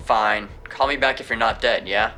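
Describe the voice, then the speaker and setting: An actor playing an anxious, exasperated friend on a call in an audio drama, speaking bluntly. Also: male voice, fairly low pitch, fairly even intonation, moderate pace, sharp tone. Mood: incredulous, worried. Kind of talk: scripted audio drama dialogue.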